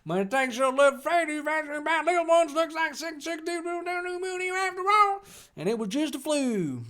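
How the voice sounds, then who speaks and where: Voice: high voice, lively intonation, moderate pace, a bit bright, pitched above the performer's own voice. A performer voicing a folksy, chirpy busy mother reading out a chatty note. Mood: cheery, apologetic.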